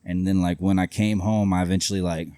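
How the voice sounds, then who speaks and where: A man with a very low voice talks bluntly and swears often, drifting through his thoughts with plenty of fillers. The sound is dry and close, with no room around it. He sounds like a guest in a loose, casual interview. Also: little pitch movement, fast talker, mellow, no echo. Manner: blunt, profane, rambling with many fillers. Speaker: independent wrestler, guest.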